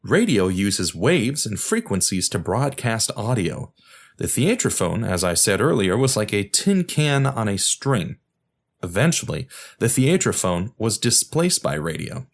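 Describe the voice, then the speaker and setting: A man's voice: fairly low pitch, big pitch swings, measured pace. A man hosting a podcast, reading scripted documentary narration in an explanatory way and addressing the listener directly.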